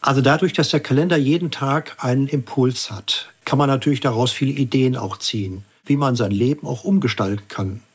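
A man giving a radio interview as a church environmental activist, explaining in a measured, earnest way. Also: mid-range voice, slightly rough.

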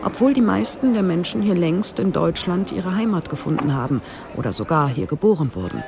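A woman's voice: fairly low pitch, big pitch swings, fairly quick, gravelly, background noise. A woman reading scripted narration for a radio documentary.

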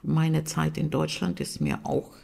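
A woman's voice: deep voice, very animated, unhurried, creaky, dark tone, husky. A middle-aged woman from Russia with a Russian accent, a language teacher reflecting in an interview and sometimes searching for words.

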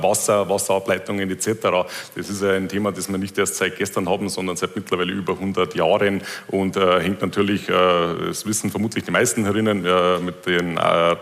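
A man answering a question at a public forum, measured, with many fillers. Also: sits low. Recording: hall reverb.

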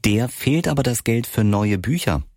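A man reading radio news in a measured, formal way. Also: medium pitch, lively intonation, a little mellow, no echo, studio quality. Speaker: news presenter. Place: radio studio.

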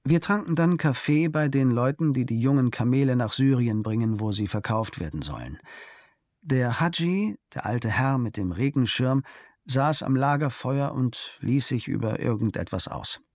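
Man narrator, measured diary reading, mid pitch, dry close sound. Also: no room sound. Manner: calm, measured storytelling. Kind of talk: scripted reading of a travel diary.

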